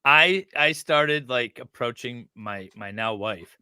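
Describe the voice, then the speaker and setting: A middle-aged man with a bright, forward voice and an average pitch, recorded close and dry. He reads aloud casually, breaking in with wry, teasing commentary. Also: animated, slow pace, clear, resonant tone, no room sound. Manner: wry, teasing, interjects asides. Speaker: co-host reading and reacting to a document.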